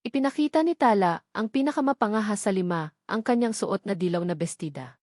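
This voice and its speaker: A woman's voice: mid-range voice, animated, a little fast, darkish tone, dry recording, studio quality. A woman with a Filipino accent narrating a scripted love story in a dramatic storytelling style.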